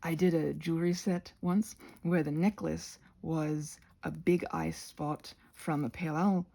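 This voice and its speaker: Crafty goblin female performer, ASMR ramble: husky, crackly, somewhat low, chatty and halting, prone to tangents. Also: fairly even intonation, warm tone, heavily acted. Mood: chatty, earnest.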